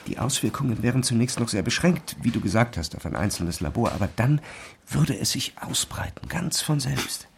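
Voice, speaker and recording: An actor playing an obsessive, distracted physicist who speaks haltingly and intensely in a radio drama. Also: male voice, medium pitch, lively intonation, vocal fry, slight background noise. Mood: fervent, absorbed. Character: obsessive, distracted male physicist sure of his discovery.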